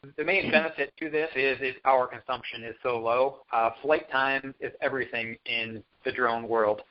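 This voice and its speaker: A man with a mid-pitched, very even voice talks on a dull conference line. His delivery is halting and matter-of-fact. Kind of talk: conference call discussion.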